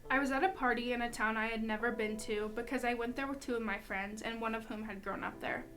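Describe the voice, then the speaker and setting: A woman's voice: fairly high pitch, steady tone, fast talker, fairly bright tone. A young adult woman, a student, reading a personal account aloud. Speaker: student reader.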